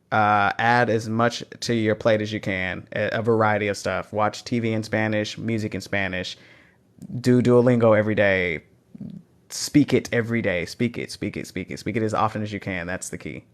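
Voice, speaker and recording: Man, Texan accent, slightly low voice, casual chat on a phone live stream, upbeat coaching tone. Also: gravelly. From Texas. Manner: encouraging, reads out and answers chat questions. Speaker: language teacher hosting a live Q&A. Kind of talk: casual livestream Q&A.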